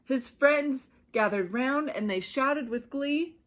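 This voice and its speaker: A woman with an American accent narrating a children's storybook with deliberate phrasing and dramatic pauses. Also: high voice. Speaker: children's ministry director reading a storybook.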